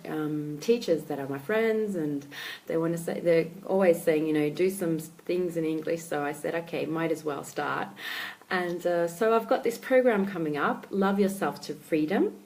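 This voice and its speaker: An Australian woman, a life coach, talking casually to her followers in a live stream, with many pauses and fillers. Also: fairly low pitch. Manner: hesitant, many ums and restarts.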